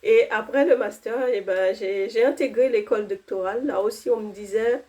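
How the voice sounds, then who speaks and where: A woman's voice: mid-range voice, normal speed, a little mellow. A woman in her thirties, not a native French speaker, giving an earnest personal faith testimony, with fillers and restarts.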